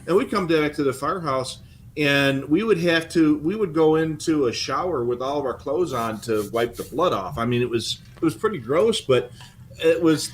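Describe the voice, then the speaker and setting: An older man explaining things in casual, halting conversation as a co-host. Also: mid-range voice. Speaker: co-host and former firefighter. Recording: noticeable background noise.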